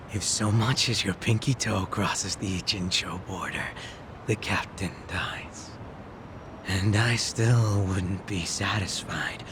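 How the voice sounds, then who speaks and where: An actor playing a sly, mocking gang boss who makes gleeful threats in a video game dub. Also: male voice, lowish voice, noisy background. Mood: cold threat. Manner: casual profane taunting.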